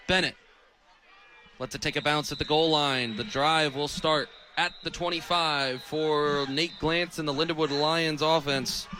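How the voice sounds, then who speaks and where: A young American man calling live football play-by-play on radio, speaking in crisp, clipped phrases. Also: fairly high pitch, measured pace, background noise. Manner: crisp, clipped phrases that build to excited calls on big plays. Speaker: play-by-play radio sports announcer.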